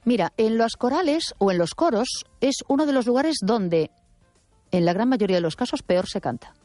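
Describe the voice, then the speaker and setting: A woman's voice: fairly high pitch, expressive, moderate pace, dark tone, no room sound, soft background music. A woman hosting a radio talk, arguing her points firmly and correcting her caller. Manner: assertive, corrects and argues firmly.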